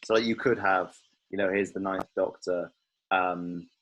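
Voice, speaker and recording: Male podcast host, slightly low and husky, rapid, informal, speculative chat. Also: steady tone, a bit bright, highs cut off. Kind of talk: casual podcast chat about a TV series.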